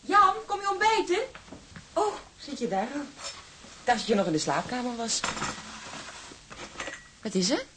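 A woman acting in a radio drama as a caring, anxious sailor's wife, homely and expressive. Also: fairly high pitch, measured pace, long pauses, noisy background. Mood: dismayed.

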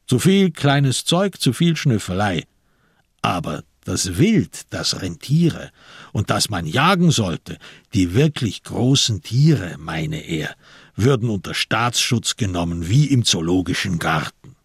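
Male narrator, literary crime radio play, husky, wide swings in pitch, scripted reading. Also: sing-song, slow, no room sound, clean studio sound.

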